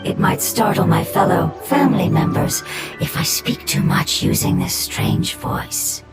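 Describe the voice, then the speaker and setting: A woman's voice: lowish voice, lively intonation. A woman voicing a small talking fungus creature who speaks formally and takes everything literally. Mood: polite. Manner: formal, measured, oddly literal. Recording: game audio over loud music.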